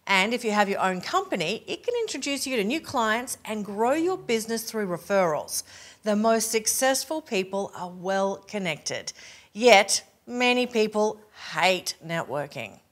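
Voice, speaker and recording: Australian woman pitching to camera. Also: sing-song, measured pace. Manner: polished, upbeat delivery to camera. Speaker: presenter promoting her keynote talks. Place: living room set with sofa, indoors.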